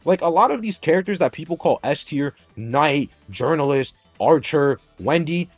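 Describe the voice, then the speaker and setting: A man hosting a casual game stream, talking fast and heated as he defends his picks. He swears freely and presses his point. The sound is a little dull, with faint music underneath. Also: a little high, no echo. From Dominican Republic. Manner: animated, profane, argumentative ranting. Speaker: streamer host. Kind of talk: casual gaming stream chat.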